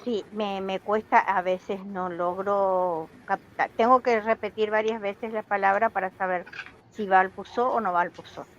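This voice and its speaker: A woman from Paraguay joins an online class from a video call. Her audio sounds dull and lo-fi, with faint noise underneath. She speaks haltingly and keeps stopping to restart her sentences. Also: medium pitch, steady tone. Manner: hesitant, many fillers and restarts. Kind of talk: casual chat.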